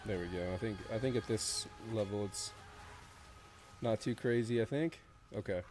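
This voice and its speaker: A man's voice: fairly low pitch, normal speed, music in the background. A male streamer with a cold, sniffly as he gives casual commentary on game previews, thinking aloud and rambling with restarts.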